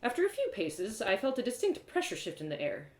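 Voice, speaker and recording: A woman giving an expressive, theatrical reading of a first-person fantasy story as a storyteller. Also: mid-range voice, a little fast. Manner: theatrical, dramatic phrasing. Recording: roomy echo.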